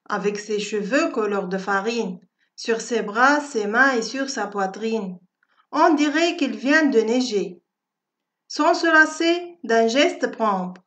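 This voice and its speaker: Slow, high-voiced woman reciting poetry. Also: fairly bright tone. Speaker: poem reciter for schoolchildren. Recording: dull, lo-fi audio.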